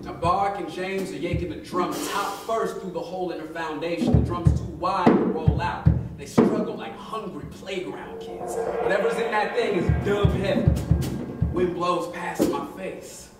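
A man in his thirties giving an expressive, colloquial dramatic reading of first-person fiction, acting out the dialogue on stage. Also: sits high, a little slow. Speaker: story reader. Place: arts venue stage, live with musicians. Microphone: studio-style microphone on a stand. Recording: echoey live room, distant, loud percussion. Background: live drum and percussion music.

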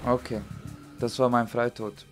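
Schweizer Let's-Player, junger Mann, lässig, Spielmusik dahinter. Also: male voice, medium pitch, little pitch movement, measured pace, loud music behind the voice. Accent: Swiss German. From Switzerland. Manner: casual, swears at the game. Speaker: gameplay commentator. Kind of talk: casual gameplay commentary.

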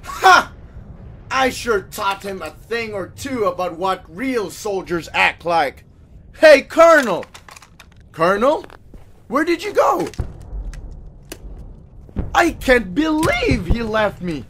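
Self-righteous young-sounding soldier, very high pitch, slow fussy delivery, whiny, radio play. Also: male voice, big pitch swings, fairly bright tone, a little hiss or hum, full character voice. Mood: smug, tattling. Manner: indignant, fussy, tattling. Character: whiny, petty, self-righteous army private. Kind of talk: radio drama acting.

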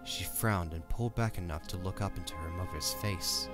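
A man's voice: sits low, moderate pace, over loud music. A man narrating a scripted audio drama as a dramatic storyteller.

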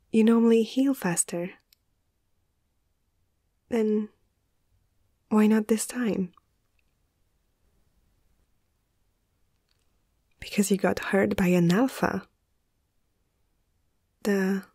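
A young woman playing a caring, slightly awestruck vet in a soft-spoken ASMR roleplay, gentle and hesitant, often slipping into a breathy half-whisper. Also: medium pitch, dry recording, clean studio sound. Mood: calm, curious. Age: young adult.

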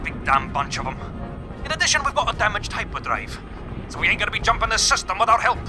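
A man's voice: high voice, very animated, fast. A man playing a brash smuggler pilot in an audio drama, sardonic and folksy. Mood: urgent, wry.

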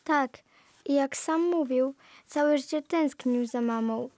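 A woman's voice: sits high, takes it slowly, mellow, no echo. A woman speaking scripted lines in a radio play.

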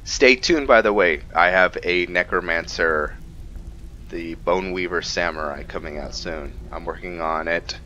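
A man's voice: deep voice, unhurried, sharp tone, slight background noise. A man giving casual roleplay commentary on a video game, rambling and thinking aloud as he plays. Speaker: gaming video host. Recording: dull lo-fi mic under music. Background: game music.

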